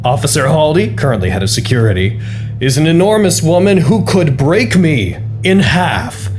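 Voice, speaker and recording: A male actor playing an amiable, nervously upbeat staffer who narrates his report in a wry, expressive, conversational way for an audio drama. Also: mid-range voice, natural voice. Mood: wry, amused. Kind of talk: scripted audio drama monologue, personal report.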